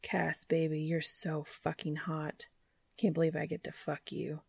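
A woman's voice: low voice, completely flat delivery, a little slow, gravelly, darkish tone, breathy edge, no room sound, studio quality, heavily acted. A woman voicing an eager, tender, slightly awkward male lover in an audiobook romance, murmuring casually. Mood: aroused. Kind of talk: audiobook character voice.

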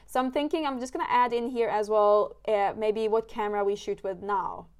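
A woman's voice: medium pitch, fairly quick, darkish tone. A woman from Sweden, a Swedish native speaker, hosting a casual podcast chat, talking loosely with lots of fillers and restarts. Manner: chatty, many fillers and restarts. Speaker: podcast host.

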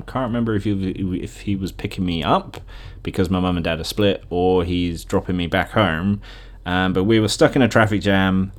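Low-voiced British man, casually rambling. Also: darkish tone. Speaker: podcast host. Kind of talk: casual rambling solo reminiscing.

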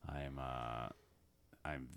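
A man with a deep bass voice, joking and laughing as a co-host in a casual podcast chat. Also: extremely slow, clean tone. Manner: joking, laughs often, animated.

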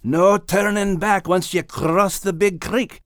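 A man's voice: high-pitched, fairly even intonation, takes it slowly, no room sound, studio quality, pitched above the performer's own voice. A man voicing a small, feisty, anxious Scottish dog in a storybook, exclaiming and fretting to himself. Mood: anxious, dismayed. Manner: exclamatory, strained, talks to himself.

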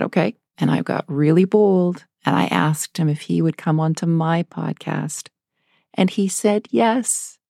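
A woman's voice: deep voice, creaky, a little mellow, dry recording, studio quality. A woman hosting a podcast interview, introducing her guest and asking questions with enthusiastic, effusive delight. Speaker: podcast host.

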